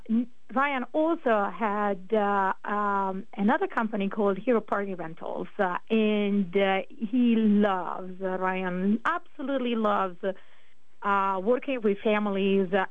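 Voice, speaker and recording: A woman from northern Italy with an Italian accent, a guest on a radio talk show, telling her story slowly with many fillers and pauses. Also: mid-range voice, clear, resonant tone, no echo, narrow, tinny audio. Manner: slow, hesitant, many fillers. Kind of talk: casual radio interview.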